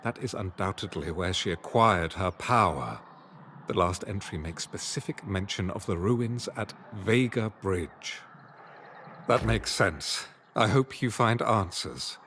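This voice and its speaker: A low male voice an earnest, troubled adventurer in a fantasy video game. He speaks solemnly, in formal old-fashioned phrases, with slight noise behind him. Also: measured pace, a bit bright. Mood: solemn, determined. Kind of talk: fantasy game dialogue acting.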